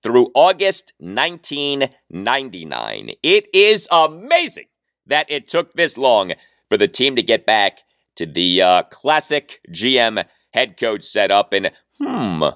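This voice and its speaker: A man's voice: mid-range voice, wildly swooping pitch, slow, fairly bright tone, dry recording, clean studio sound. An American man hosting a sports podcast, delivering commentary emphatically and stretching out words for effect.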